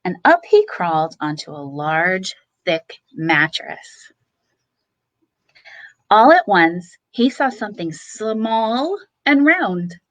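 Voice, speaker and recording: Slow, very expressive woman reading a bedtime story. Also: mid-range voice, rough recording. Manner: expressive, with dramatic pauses and emphasis. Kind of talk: bedtime picture-book reading for children.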